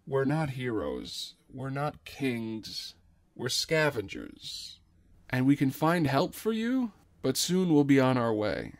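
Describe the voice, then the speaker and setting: Reluctant scavenger dad male actor: terse, deadpan, fry in the voice, sci-fi radio play. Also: medium pitch, slow pace, no room sound. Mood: flat, sober. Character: gruff, cynical, reluctant male space scavenger, a father.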